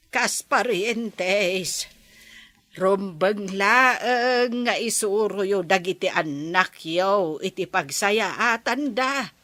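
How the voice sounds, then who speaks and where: A woman playing a mother in a comedy radio drama, speaking in a slow, advising tone. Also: mid-range voice, bright, forceful tone, dry recording. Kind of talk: Ilocano radio drama acting.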